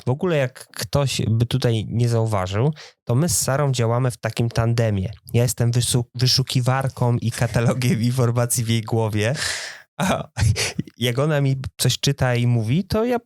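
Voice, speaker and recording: A man's voice: mid-range voice, normal speed, a little mellow, no echo, studio quality. A man co-hosting a podcast in a casual, conversational style. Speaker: podcast co-host. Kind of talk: casual chat.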